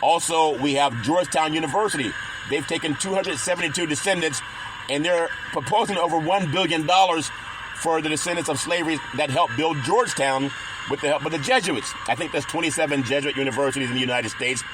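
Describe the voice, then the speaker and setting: A man hosting a sports talk radio show, animated and quick with interjections, asking a guest questions. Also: on the high side. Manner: animated, chanting, laughs often, quick interjections. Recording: noisy radio broadcast.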